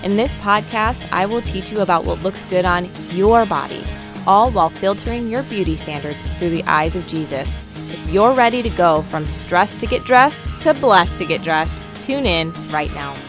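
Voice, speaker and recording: Woman podcast host, scripted intro, lower voice, clear music bed behind. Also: animated, normal speed. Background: background music.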